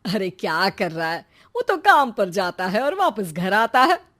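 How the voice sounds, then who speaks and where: A woman's voice: mid-range voice, very animated, a little slow, fairly bright tone. A woman playing a naive, anxious middle-aged mother in a moral story, fretful and wailing. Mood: puzzled, protective.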